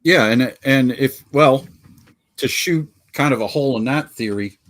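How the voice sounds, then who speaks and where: A man's voice: mid-range voice, unhurried, fairly bright tone. A man from Pennsylvania co-hosting a casual podcast chat, rambling and joking as he fumbles through his notes on a video call. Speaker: podcast co-host.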